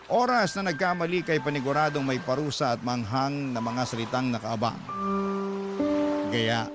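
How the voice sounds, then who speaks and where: A man with a Filipino accent narrating a dramatic first-person letter in a plaintive, sorrowful storytelling manner. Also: medium pitch. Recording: music bed behind the voice. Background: background music.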